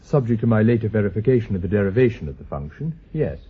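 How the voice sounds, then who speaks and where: An actor playing a polite, cautious young mathematician with a British accent, formal and hesitant, in a radio drama. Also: male voice, on the low side, big pitch swings, fast talker, heavily acted. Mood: earnest. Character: earnest, polite young male mathematician newly arrived, cautious. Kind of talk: BBC radio drama acting. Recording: phone-like lo-fi radio recording, clear hiss.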